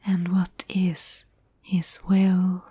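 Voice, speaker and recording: A woman plays a mysterious guardian maiden in a dark fantasy ASMR tale. She speaks extremely slowly in a soft, mellow whisper, breathy and solemn, with music behind her. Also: fairly low pitch, completely flat delivery, full character voice. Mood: guarded, defiant. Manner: slow, measured, solemn. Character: mysterious Spindlemaid, calm protective fantasy guide.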